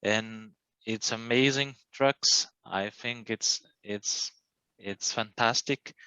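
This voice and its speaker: Slow, hesitant Brazilian man. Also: fairly low pitch, bright, forceful tone, clean tone, dry recording, low-quality recording. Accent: Brazilian Portuguese. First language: Portuguese. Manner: halting, hesitant, many fillers and restarts. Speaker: student. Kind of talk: class discussion.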